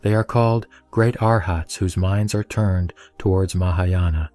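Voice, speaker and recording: A man giving a steady, even reading of a Buddhist scripture. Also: deep voice, a little slow. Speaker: sutra reciter. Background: soft background music.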